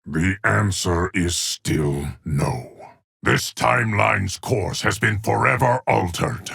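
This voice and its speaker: A man playing a stern, ancient keeper of time in a fighting video game, with a gravelly, husky voice and a formal, portentous delivery. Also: on the low side, slow, a bit bright, no echo, studio quality, heavily acted. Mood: solemn, measured. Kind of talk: dramatic fighting game character lines.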